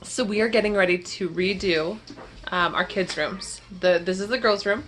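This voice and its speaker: A woman's voice: mid-range voice, normal speed, fairly bright tone, poor audio. A woman in her thirties talks in a chatty, rambling way, with plenty of ums, while she walks a handheld camera through her family home. Her nose is congested from a cold, and a faint hiss sits behind her voice. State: stuffed-up nose. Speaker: vlogger. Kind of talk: casual home tour vlog. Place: family home, kitchen and kids' bedroom, indoors. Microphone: handheld camera at arm's length.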